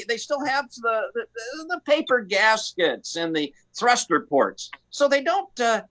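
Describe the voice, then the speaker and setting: A man plays a cocky maverick starship pilot for radio comedy. The voice is bright and forceful, leaping up and down in pitch. He rambles and doubles back on his words. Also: heavily acted. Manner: rambling, halting, repeats words. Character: cocky, rambling, free-spirited male maverick space pilot. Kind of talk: comic radio play acting.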